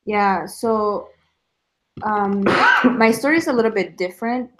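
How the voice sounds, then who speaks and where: A woman's voice: medium pitch, animated, measured pace, fairly bright tone. Young woman, earnest reflective guest with a mid-range voice. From El Salvador. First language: Spanish. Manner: earnest, reflective, with frequent fillers. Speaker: guest sharing a faith story. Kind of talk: casual testimony chat.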